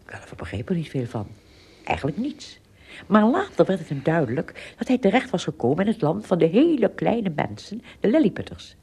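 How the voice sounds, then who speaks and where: A woman with a lowish, mellow voice tells a children's story. Her delivery is animated, full of dramatic pauses and small asides, with a slightly husky tone. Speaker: narrator. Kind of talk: scripted children's story narration.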